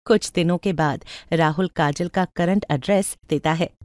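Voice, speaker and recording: A woman's voice: fairly low pitch, moderate pace, darkish tone, no room sound, studio quality. A woman reading scripted storybook narration.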